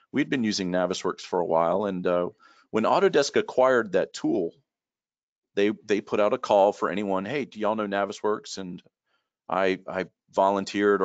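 A middle-aged man, a trainer telling stories in a relaxed, rambling way, repeating words and using many fillers, heard over a video call from a room at home. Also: sits low, normal speed, vocal fry, dry recording. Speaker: guest expert and trainer. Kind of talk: casual storytelling in a video call. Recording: dull lo-fi call audio.

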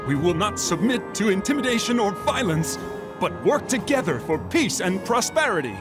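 High-pitched, indignant company man. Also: very animated, normal speed. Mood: indignant, earnest. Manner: earnest, formal, declamatory. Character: prim, indignant, idealistic male corporate middle manager. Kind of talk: video game voice acting. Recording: loud game music behind the voice.

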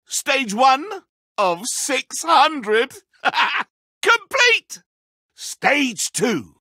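An actor playing a petulant, theatrical godlike video game villain, grandiose and mock-friendly with a sulky streak and a raspy edge. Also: male voice, very high voice, wildly swooping pitch, drawn-out words, pushed and bright, no room sound, clean studio sound, exaggerated voice. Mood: gleeful showman. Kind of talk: theatrical game character acting.

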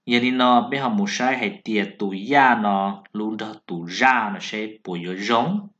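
A man's voice: fairly low pitch, normal speed, a bit bright. A man giving a rambling, casual talk about zodiac matches as a voice-over. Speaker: zodiac fortune talker. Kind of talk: casual spoken talk over a still slide. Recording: dull lo-fi sound.

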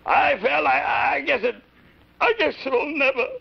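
A man voicing a blustery, flustered old schemer marionette on a 1950s children's show, stammering and repeating himself theatrically with a creaky voice. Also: very high voice, moderate pace, heavily acted. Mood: contrite. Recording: muffled old television soundtrack.

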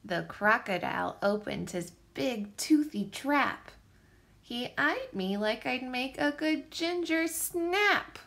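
A woman reading a picture book aloud for young children with expressive, deliberate phrasing. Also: high-pitched, gravelly. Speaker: children's story reader, teacher.

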